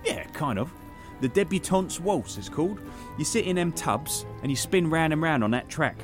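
An actor playing a cheeky, cynical young British park worker, colloquial and teasing, in audio drama dialogue. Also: male voice, a little high, expressive, moderate pace, music in the background, lightly acted. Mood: matter-of-fact.

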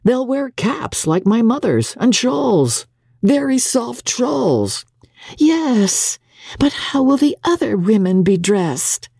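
Woman voicing a high-pitched, girlish, fretful young bride. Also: big pitch swings, slow pace, creaky, mellow, husky, dry recording, studio quality, heavily acted. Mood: fussing. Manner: girlish, plaintive, coaxing. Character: shy, pouting young American society bride fretting sweetly.

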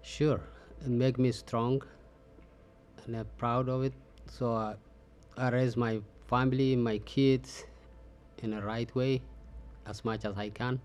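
Halting middle-aged man from Ethiopia. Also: mid-range voice, flat delivery, darkish tone. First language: Oromo. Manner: halting, frequent "uh" fillers, simple phrasing. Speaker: interview guest. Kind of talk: casual interview answers.

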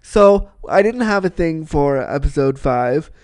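Male podcast host, slightly high voice, sniffly with a cold, loose and chatty. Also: expressive, unhurried, a little mellow, dry recording, clean studio sound. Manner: chatty, rambling, repeats himself. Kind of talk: casual solo podcast chat.

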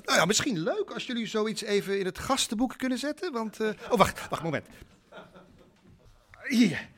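An actor playing a chatty, eager-to-please bed-and-breakfast host in a naturalistic radio drama, effusive and easily flustered. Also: male voice, sits high, very animated, moderate pace, a bit bright. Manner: effusive, flustered, trailing off mid-sentence.

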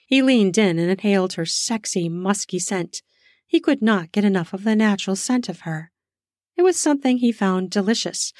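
A woman narrating an erotic audio story in a slow, sensual way, lingering on words for emphasis. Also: medium pitch, a little mellow, dry recording, clean studio sound. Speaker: storyteller, podcast host.

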